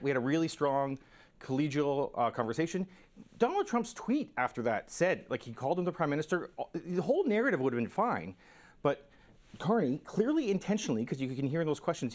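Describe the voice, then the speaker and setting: Fast, animated male pundit. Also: on the high side. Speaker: political strategist, panelist. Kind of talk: spontaneous political commentary.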